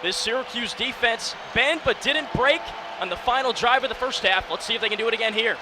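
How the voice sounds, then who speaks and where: A man in his early twenties calling live football play-by-play on radio with energetic, clipped phrasing. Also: extremely high pitch, fairly quick. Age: early 20s. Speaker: play-by-play announcer. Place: sports broadcast position inside a domed stadium. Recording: steady stadium noise behind. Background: stadium ambience.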